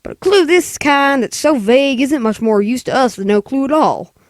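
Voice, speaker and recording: A woman voicing a gruff, dismissive, weary city police sergeant in a 1940s radio play, with brusque, patronizing delivery. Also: high-pitched, expressive, normal speed, no echo, studio quality.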